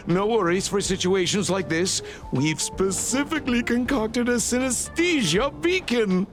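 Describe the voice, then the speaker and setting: A man playing a theatrical, fawning cult devotee in a video game. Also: high voice. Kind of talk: video game character acting.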